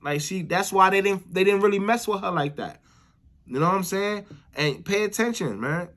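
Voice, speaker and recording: A man's voice: sits high, lively intonation, moderate pace, a bit bright. An American man giving casual, opinionated commentary as a YouTuber, repeating phrases for emphasis and often checking in with the listener. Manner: repeats phrases for emphasis, frequent "you know what I'm saying" tags.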